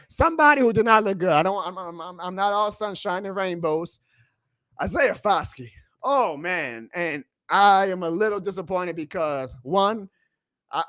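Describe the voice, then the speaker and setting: A man hosting a live sports reaction stream, excitable and emphatic, his voice going hoarse. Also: high voice, no echo. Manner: excitable, emphatic, plugs merch, reads chat aloud. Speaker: sports fan livestream host.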